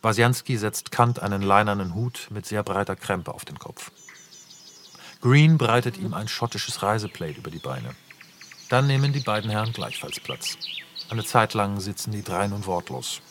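A man reading the stage directions of a radio play in a plain, measured way. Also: on the low side, lively intonation, fairly bright tone, slight background noise. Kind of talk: scripted radio play narration.

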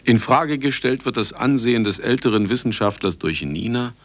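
An actor playing an irascible older scientist who also narrates, theatrical and commanding, in a German radio play. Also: male voice, mid-range voice, animated, normal speed, no room sound. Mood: dry, confiding.

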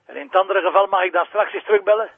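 An actor playing an anxious, restless Flemish husband in a television crime drama, husky and heard through a telephone. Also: male voice, sits high, steady tone, rapid-fire, dry recording, full character voice. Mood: worried. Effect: telephone filter. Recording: thin, dry phone-line sound.

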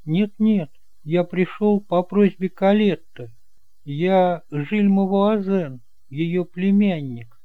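A man playing a polite, reassuring young nephew in an amateur audio drama, speaking calmly and courteously. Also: high-pitched, takes it slowly, no echo, phone-quality sound.

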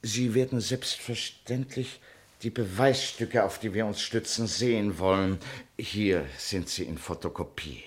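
A slick radio-drama lawyer with a lowish, husky voice. He talks formally and deliberately, insinuating as he presses his claim. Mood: smugly confident. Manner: smooth-talking, formal, deliberate. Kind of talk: German radio play acting.

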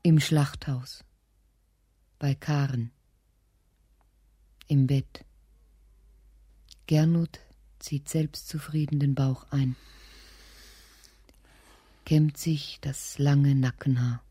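Radio play lead: lonely, anxious woman, dark low murmur, whispered fragments, very dry sound. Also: fairly even intonation, dry recording, full character voice. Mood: bleak, brooding. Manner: breathy whispering, halting fragments. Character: lonely, anxious, bitter woman in bleak slum. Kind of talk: literary radio drama monologue and dialogue.

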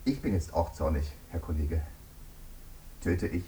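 A man's voice: sits low, animated, a little slow, warm tone, recorded from a distance, poor audio. An actor in a German radio drama playing a stern, indignant male prosecutor who speaks formally and emphatically. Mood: indignant, insistent.

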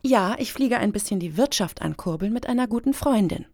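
A woman's voice: mid-range voice, animated, brisk, a little mellow. Actress as breezy, entitled well-off woman. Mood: breezy, flippant. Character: breezy, self-satisfied well-off female grant applicant. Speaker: actor in satirical radio play. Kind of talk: radio satire dialogue.

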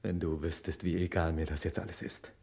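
An actor playing a desperate, guilt-ridden man hiding a crime in a vintage radio crime play, with a husky, weary delivery. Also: deep voice, fairly even intonation, fairly quick, darkish tone. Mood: resigned.

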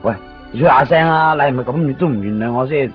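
A man's voice: mid-range voice, fast talker, close to an everyday voice. Actor doing jittery apologetic hong kong cantonese guy, mid voice, evasive, muffled old radio play w/ music. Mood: pleading. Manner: hesitant, stammering, pleading. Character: nervous, apologetic longtime male friend, talkative and evasive. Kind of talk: 1990s Cantonese radio drama acting. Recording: dull, lo-fi 1990s radio recording with music.

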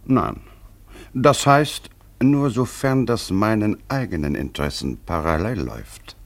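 A haughty English aristocrat turned polite blackmailer for a radio play. The voice is very deep and swings widely in pitch, with formal, measured, pompous wording. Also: male voice, animated. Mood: haughty, businesslike. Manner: formal, deliberate, pompous phrasing. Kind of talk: German radio play acting.

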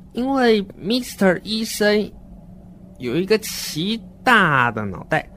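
An adult playing a slow, earnest seven-year-old child. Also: mid-range voice, slight background noise. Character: seven-year-old child narrator, naive, wordy, uneasy. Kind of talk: horror radio drama monologue, scripted narration.

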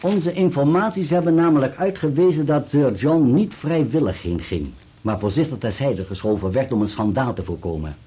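An actor playing a precise, formal solicitor in a radio play, with an articulate delivery. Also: male voice, mid-range voice, lively intonation, moderate pace, hardly any pauses, mellow, breathy edge. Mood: declamatory, precise. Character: dutiful male solicitor reading an article aloud, precise and formal.

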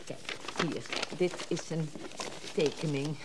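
A woman's voice: low-pitched, fairly even intonation, slow pace, darkish tone, slight background noise, low-quality recording. An actress playing a thrifty, wary middle-aged woman in a naturalistic radio drama, chatty and dismissive. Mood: chatty, practical. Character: thrifty, wary middle-aged woman selling her late uncle's old instrument.

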